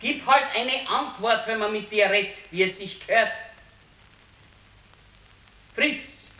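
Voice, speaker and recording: An actress playing an ageing, plain-spoken wife in a naturalistic radio play, with a Bavarian accent and a homely, matter-of-fact manner. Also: female voice, medium pitch, slow pace, bright, forceful tone, low-quality recording. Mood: nagging, scolding. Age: older. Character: plain-spoken ageing wife, former servant girl, nagging. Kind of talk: naturalistic radio drama acting.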